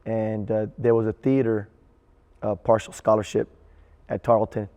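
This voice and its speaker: A man's voice: fairly low pitch, level tone, moderate pace, dark tone. An adult man recounting his own background hesitantly in an interview. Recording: reverberant hall.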